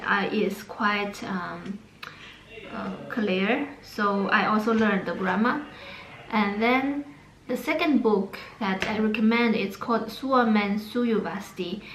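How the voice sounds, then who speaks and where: Slow, hesitant woman with a Chinese accent. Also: medium pitch, fairly bright tone, echoey room, rough recording. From China. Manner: hesitant, many fillers and restarts. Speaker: vlogger. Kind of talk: casual talk to camera about language learning.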